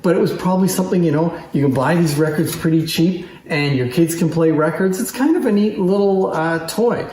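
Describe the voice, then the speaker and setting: A man's voice: on the high side, fairly quick, hardly any pauses, a little mellow. A man giving a casual, unscripted show-and-tell in a conversational, enthusiastic way, as a presenter of antique machines. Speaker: antique phonograph collection presenter. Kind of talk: casual unscripted demonstration talk. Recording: roomy echo.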